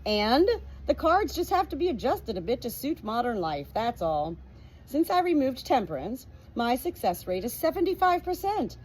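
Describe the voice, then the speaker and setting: A woman's voice: on the high side, sing-song, normal speed, darkish tone, slightly rough, voice pushed up. A woman voicing a haughty, scornful mother with a dramatic, contemptuous way of talking. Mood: insistent, theatrical. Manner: contemptuous, dramatic, screeching when triumphant. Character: haughty, scornful, theatrical mother who fancies herself psychic.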